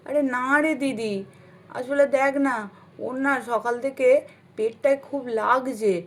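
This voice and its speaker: A woman voicing a caring, fussing village wife in a doll story, in an expressive, concerned manner. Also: high voice, unhurried. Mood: worried. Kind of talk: amateur doll-story acting with narration.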